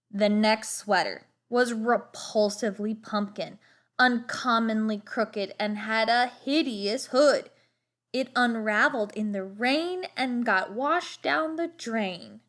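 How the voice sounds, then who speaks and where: A woman reading a children's storybook aloud expressively, with deliberate, dramatic emphasis on words. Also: fairly high pitch.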